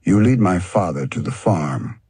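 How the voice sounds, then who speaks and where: A man's voice: low-pitched, animated, a little slow, mellow, slightly rough, no room sound, heavily acted. A man voicing a grateful, earnest young male hound in a storybook.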